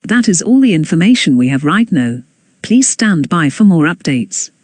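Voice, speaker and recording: An announcer reading scripted emergency news bulletins in a formal, measured way. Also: medium pitch, sing-song, no echo.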